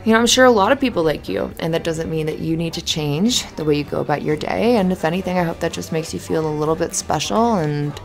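Woman acting flirty barista, low creaky voice. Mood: shy, hopeful. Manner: giggly, halting, rambling. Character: cute, flustered, flirty female cafe barista, kind and encouraging. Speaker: ASMR roleplay voice actor. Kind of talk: ASMR roleplay, conversational acting. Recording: music under the voice. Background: music.

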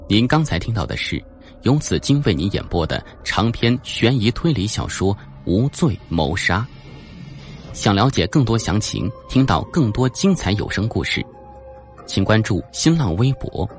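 A man's voice: lowish voice, big pitch swings, normal speed, faint music behind. A man narrating a suspense audiobook in a dramatic, scripted storytelling style.

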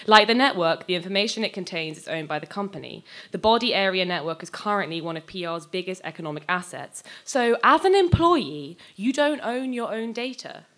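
A young adult woman giving a live, scripted dramatic reading of fiction on stage into a microphone, moving between measured narration and conversational dialogue. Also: mid-range voice, expressive, fairly bright tone. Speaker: reader of a short story. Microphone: handheld-type microphone on a stand, close.

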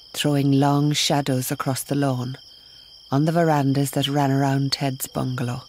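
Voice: mid-range voice, darkish tone, slight background noise. A narrator delivering scripted narration for a radio drama.

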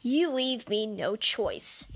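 A woman's voice: a little high, big pitch swings, slow, gravelly, exaggerated voice. A woman voicing a stubborn, earnest male hero dog in a cartoon fandub, commanding and indignant. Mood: defiant. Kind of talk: animated film fandub.

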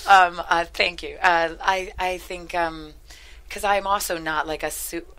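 Low-voiced woman, halting and casual. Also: fairly even intonation, pushed and bright. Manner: halting, self-correcting. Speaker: screenwriter interviewed as guest. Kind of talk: casual interview chat. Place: talk-show studio couch. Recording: faint noise, studio ambience.

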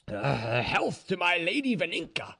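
A man doing a high, slow-drawling drunken boastful serf. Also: big pitch swings, vocal fry, bright, forceful tone, exaggerated voice. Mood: boastful, tipsy. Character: drunk, boastful Russian household serf, swaggering and defiant.

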